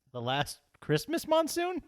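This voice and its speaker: A man chats about movies on his podcast with a lively, swinging pitch. He jokes, restarts his sentences and lets some thoughts trail off. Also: slow, crowd noise. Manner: animated, joking, trails off and restarts. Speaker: podcast co-host. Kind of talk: casual chat. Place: home studio, at a computer.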